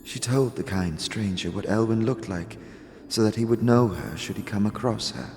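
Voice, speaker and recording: A man voicing a mysterious, sad otherworldly woman in a fantasy audio drama, with dreamy, musical, deliberate speech. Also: fairly low pitch, gravelly, darkish tone, in a large hall. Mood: hopeful. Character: mysterious sad otherworldly woman who speaks of herself in third person.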